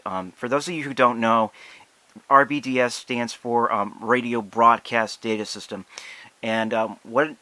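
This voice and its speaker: An American man giving a casual, unscripted product demonstration in a halting, hesitant way with drawn-out pauses. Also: lowish voice, bright, forceful tone, dry recording. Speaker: product reviewer.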